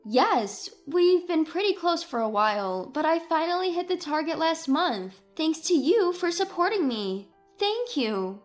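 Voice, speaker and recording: A woman's voice: very high voice, big pitch swings, unhurried, faint music behind, voice pushed up, heavily acted. A woman playing an earnest, sensitive wife in dramatised story dialogue. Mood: grateful, eager.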